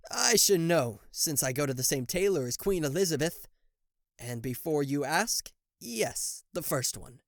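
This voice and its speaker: Man acting as cocky devil king, animated swooping pitch, unhurried, sarcastic, easily flustered, ASMR roleplay. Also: creaky, no echo, clean studio sound. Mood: offended, pompous. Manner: theatrical, sarcastic, indignant, stammering when flustered.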